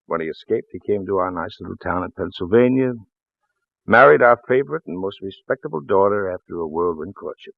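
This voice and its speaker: An actor playing a folksy, shrewd small-town police lieutenant in a 1940s radio drama, hesitant and apologetic in manner. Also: male voice, sits low, lively intonation, fairly quick, creaky, no room sound, exaggerated voice. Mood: shrewd, regretful. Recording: muffled vintage radio recording, phone-like band.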